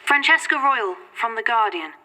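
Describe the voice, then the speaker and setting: An actress playing a serious, pointed newspaper reporter in an audio drama. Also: female voice, on the high side, expressive, moderate pace, bright, forceful tone, poor audio. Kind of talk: audio drama press question.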